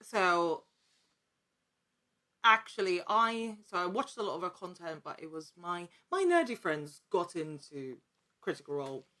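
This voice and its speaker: A woman talking casually as an interview guest, telling her story in an animated, chatty way. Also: lowish voice, unhurried. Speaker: podcast guest, actor.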